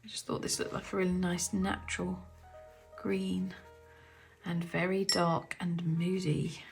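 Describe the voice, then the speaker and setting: A woman with a croaky throat from the cold, casually talking through her paints as she swatches them, often half-whispering and musing aloud. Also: on the low side, level tone, measured pace, soft background music. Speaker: artist demonstrating paints. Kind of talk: casual craft tutorial chat. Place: home art studio.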